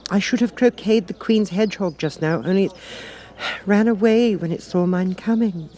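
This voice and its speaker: A man's voice: high-pitched, normal speed, warm tone, voice pushed up, natural voice. A man voicing an earnest, polite little girl in a storybook, prim and plaintive with hesitant turns. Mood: plaintive complaint.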